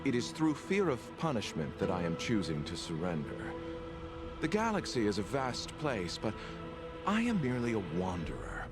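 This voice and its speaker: A polite, composed merchant whose lowish voice swoops through a very wide melodic range. He keeps his tone courteous and faintly wry over game music. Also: very animated, moderate pace. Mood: measured. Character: courteous, composed wandering merchant, quietly sly.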